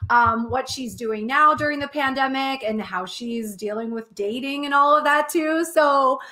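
Bubbly woman co-host, high and bright voice, gushing introductions. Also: normal speed. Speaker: podcast co-host. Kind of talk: casual podcast chat.

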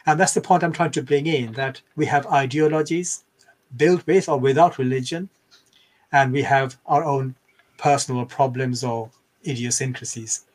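An older man from Bangladesh, speaking as a scholarly interview guest in a measured, reflective, explanatory way. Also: mid-range voice, steady tone.

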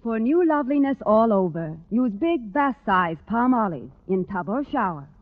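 A woman's voice: a little high, big pitch swings, moderate pace, faint music behind. Vintage female radio announcer, tinny sound. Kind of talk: 1940s-50s radio advertising read. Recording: thin, phone-like old radio recording.

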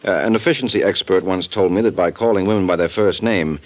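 An actor playing a suave private detective in 1940s radio style, trading dry, flirtatious wisecracks. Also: male voice, sits low, fast, lightly acted. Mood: wry. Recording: dull old radio recording.

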